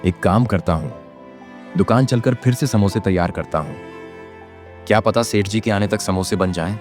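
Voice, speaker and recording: A humble young village shopkeeper acted by a man in a children's moral cartoon. His voice sits fairly low and carries an earnest, plaintive, anxious manner, with light music behind it. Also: normal speed, darkish tone, close to an everyday voice. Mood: resigned. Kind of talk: Hindi children's moral-story cartoon dub.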